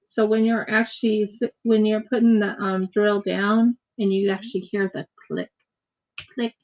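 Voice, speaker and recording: A woman's voice: medium pitch, little pitch movement, a little slow, highs cut off. An American woman chatting casually and rambling as she crafts on a livestream, often repeating her words. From United States. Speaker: craft streamer.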